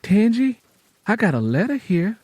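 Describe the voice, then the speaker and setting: A male narrator plays an earnest Southern country woman in an audio drama. The voice is lifted high and soft-edged, with plain folksy phrasing and an urgent, coaxing manner. Music sits under it. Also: sing-song, normal speed, dry recording. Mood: gentle coaxing.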